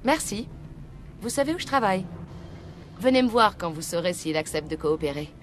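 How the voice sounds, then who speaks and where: An actress playing a determined undercover internal affairs agent in a video game, businesslike and wry. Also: female voice, mid-range voice, expressive, moderate pace, soft background music, natural voice. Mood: businesslike, persuasive. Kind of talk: video game dub dialogue.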